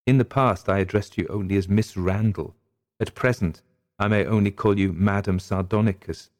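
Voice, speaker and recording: A man voicing a gallant, earnest gentleman physician with courtly, emphatic period diction, as in a dramatic audiobook. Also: fairly low pitch, moderate pace, close to an everyday voice. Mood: tender, ardent.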